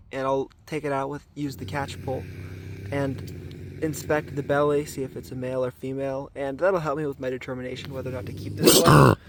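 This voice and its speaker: A man with a raspy voice today, talking casually and thoughtfully to a camera while checking traps outdoors, with filler ums and restarts. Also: a little high, background noise. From southern Arizona. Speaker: trapper filming his trapline. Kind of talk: casual outdoor vlog narration. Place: outdoors in desert washes.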